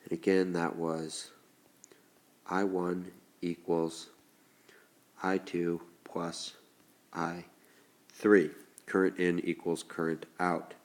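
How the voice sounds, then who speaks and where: A male teacher with a stuffed-up, congested voice, slowly explaining a lesson step by step as he writes. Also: deep voice, level tone. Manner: slow, deliberate explaining while writing. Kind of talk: recorded classroom lesson.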